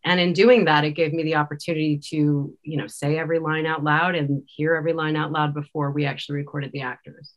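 A woman's voice: deep voice, little pitch movement, fast, hardly any pauses, dull audio. A woman speaking conversationally and emphatically as an interviewed director on a video call. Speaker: podcast director and actor interviewed. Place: indoor room, video call. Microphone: video call microphone.

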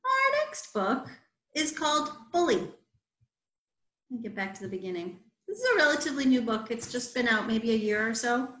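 A woman's voice: on the high side, very animated, normal speed, a bit bright, roomy sound. A woman in her forties hosting a children's storytime, talking simply and expressively to kids as she reads picture books. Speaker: library storytime host. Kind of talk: children's picture-book read-aloud and casual talk. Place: indoor room at home. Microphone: computer mic on video call, arm's length.

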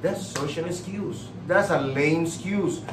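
Male co-host, middle-aged, Puerto Rican accent. Fairly high voice, emphatic and repetitive, in an echoey room. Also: a little slow. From Puerto Rico. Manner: emphatic, repeats phrases. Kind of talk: conversational, impassioned opinion. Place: indoor room hung with paintings. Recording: echoey room, distant mic, noisy background.

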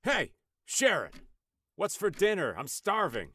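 Male actor as gruff, bullying deadbeat boyfriend for radio drama; contemptuous, wildly varied intonation. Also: high voice, huge pitch swings, measured pace, a bit bright, heavily acted. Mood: demanding. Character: abusive, surly, hot-tempered deadbeat boyfriend.

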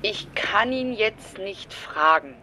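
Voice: mid-range voice, animated, slow pace, pushed and bright, a little hiss or hum. An actor playing a doting, naive older mother, sweet and fussing, in a parody detective audio play. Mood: sweetly fussing.

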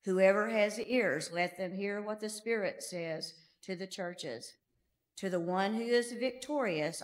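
A woman reads scripture aloud in church in a mid-range voice. Her reading is clear and deliberate. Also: mid-range voice. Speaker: scripture reader.

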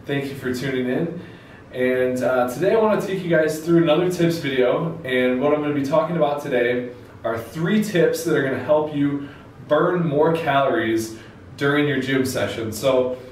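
A man's voice: mid-range voice, moderate pace. Young man in a very echoey room. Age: 20s. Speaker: fitness video host. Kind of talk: informal instructional talk to camera. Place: small indoor room with a whiteboard. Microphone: camera mic a few steps away. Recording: very echoey room, distant mic, faint noise.

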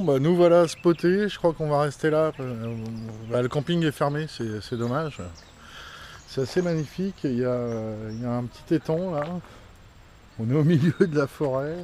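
A man's voice: fairly high pitch, mellow, a little hiss or hum. A man in his forties vlogging casually about a family camper van trip, rambling with many fillers. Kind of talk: casual vlog chat. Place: inside a camper van while driving, then outdoors in a forest clearing. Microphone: action camera on the dashboard or held at arm's length.

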